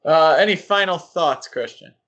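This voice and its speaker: A man's voice: a little high, lively intonation, moderate pace, a bit bright, dull audio. Casual male podcast host.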